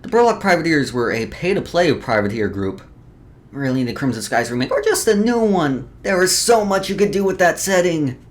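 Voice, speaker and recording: A man's voice: fairly high pitch, sing-song, normal speed. A man doing casual let's play commentary, reading game lore aloud with stumbles, informal swearing and joking asides. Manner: stumbles over read text, joking asides. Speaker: let's player.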